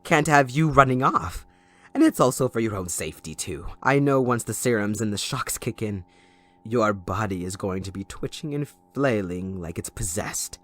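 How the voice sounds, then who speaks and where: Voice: mid-range voice, expressive, normal speed, a bit bright, faint music behind, natural voice. A performer voicing a gleeful, sadistic supervillain kidnapper in an audio roleplay, theatrical, mocking and possessive toward a captive listener. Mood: mock caring. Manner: theatrical, mocking, giggling, swooping between croon and shriek.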